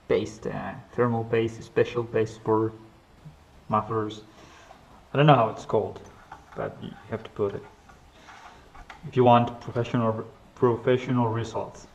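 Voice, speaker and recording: A man from Spain talking casually while he works on a motorcycle, halting and searching for English words with many fillers. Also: medium pitch, dull audio. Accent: Spanish. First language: Spanish. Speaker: motorcycle garage vlogger. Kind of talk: casual workshop talk, switching between English and Spanish. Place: home garage workshop.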